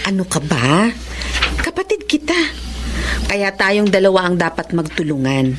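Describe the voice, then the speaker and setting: An actress playing a supportive young elder sister in a radio drama, caring and encouraging. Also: female voice, on the low side, very animated, a little slow, noisy background. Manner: reassuring, encouraging.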